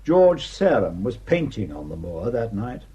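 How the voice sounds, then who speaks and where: A man's voice: on the low side, sing-song, measured pace, warm tone, husky, muffled recording, heavily acted. A man acting a sardonic police superintendent in a radio drama, questioning with wry insistence. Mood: dry, probing. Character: sardonic, probing police superintendent investigating a murder. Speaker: actor.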